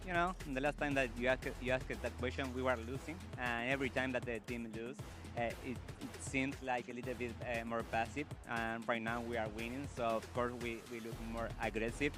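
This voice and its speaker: A male esports coach answering a post-match interview question, speaking haltingly with frequent pauses. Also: medium pitch, little pitch movement. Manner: halting, many fillers and restarts. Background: music.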